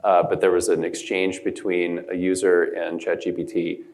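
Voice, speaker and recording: A man's voice: sits low, flat delivery, moderate pace, darkish tone. A man moderating a panel, asking questions with curious hesitation.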